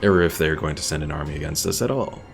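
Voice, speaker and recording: A man with a low, fry-laden voice streams a game. He comments casually and thinks aloud about each move, with faint music underneath. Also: expressive, fast talker. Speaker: gaming streamer.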